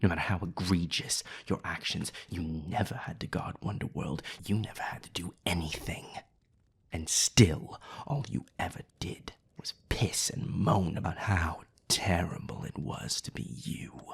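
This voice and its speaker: A man voicing a haughty, scornful vampire with a raspy, breathy voice in a scripted audio drama. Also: deep voice, unhurried, whispered, exaggerated voice. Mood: bitter. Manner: haughty, cutting, sardonic. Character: scornful, condescending male vampire, bitter rival. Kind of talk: scripted audio roleplay acting.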